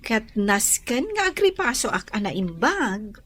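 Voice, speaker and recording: An actress with a Filipino accent playing an obedient young student wife, eager to please, in a radio drama. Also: female voice, mid-range voice, sing-song, slow pace, fairly bright tone, slightly rough, heavily acted. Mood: reassuring.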